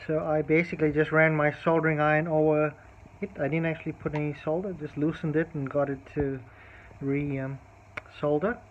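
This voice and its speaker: A man casually talking through a hands-on electronics repair demonstration step by step, as a hobbyist showing a fix. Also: fairly high pitch. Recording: tinny, narrow-band phone-like sound.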